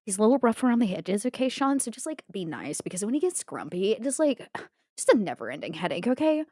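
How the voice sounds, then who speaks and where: A woman's voice: mid-range voice, sing-song, quick pace, warm tone, no room sound, clean studio sound. A woman playing a spoiled, ditzy young crime heiress in a tabletop roleplay, with breezy valley-girl talk full of filler words. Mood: sugary.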